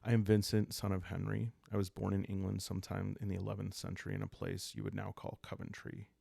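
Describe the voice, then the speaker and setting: A man voicing a proud medieval crusader who recounts his life in a solemn, measured way for a sleepy roleplay. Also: low voice, flat delivery, creaky, warm tone, husky, dry recording, full character voice.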